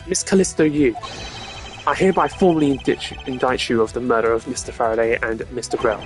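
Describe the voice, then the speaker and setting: Streamer doing a formal male prosecutor, measured, self-assured, mid-pitched, video game courtroom drama, music. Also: steady tone, close to an everyday voice. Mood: accusing, solemn.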